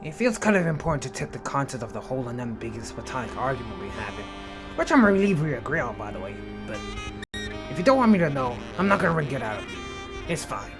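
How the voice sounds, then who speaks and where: A woman's voice: extremely low pitch, sing-song, quick pace. A woman voicing a sweary young male alien in a comic dub, sarcastic and wordy, prone to florid insults. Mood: subdued, conciliatory. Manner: indignant, sarcastic, verbose, sweary. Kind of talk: comic fan dub voice acting. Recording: music behind the voice.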